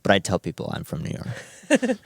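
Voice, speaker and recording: A man in his twenties from New Jersey with a very deep voice. He talks about music eagerly and earnestly, filling his pauses with uhs and ums. The sound is dry and close. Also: wildly swooping pitch, creaky, no room sound. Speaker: cellist, music student. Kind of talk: radio interview. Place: radio performance studio.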